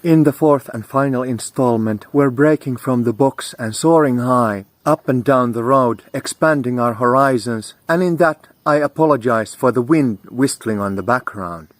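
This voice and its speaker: A man's voice: mid-range voice, dry recording. A man delivering a reflective spiritual monologue, with flowery, musing phrasing broken by slangy asides. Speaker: spiritual teacher hosting an audio series. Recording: noisy outdoor recording, wind and vehicle noise.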